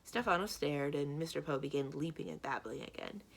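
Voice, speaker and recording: A woman reading a children's book aloud as a library storyteller, with expressive narration. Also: low-pitched, brisk, creaky, slightly rough.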